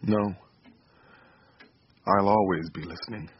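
A man's voice: low-pitched, steady tone, takes it slowly, slight background noise. An actor playing an earnest, remorseful father and husband in a radio drama. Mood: solemn. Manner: earnest, sincere. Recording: muffled radio recording, loud music behind.

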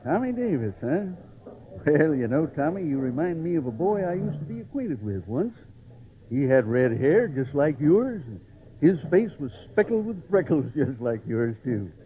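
An actor playing a kindly old circus hand spinning a yarn in a folksy, unhurried radio-drama style. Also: male voice, a little high, very animated. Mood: friendly, chatty. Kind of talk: 1940s-50s radio drama acting. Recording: tinny old radio recording, faint noise.

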